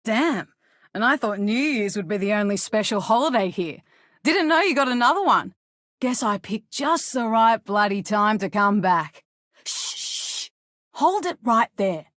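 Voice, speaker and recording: A woman voicing a gruff, blunt, hard-drinking female mercenary with swagger for a video game. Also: on the high side, lively intonation, measured pace, a bit bright, no room sound, studio quality. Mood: brash, irritated. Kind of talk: game character acting.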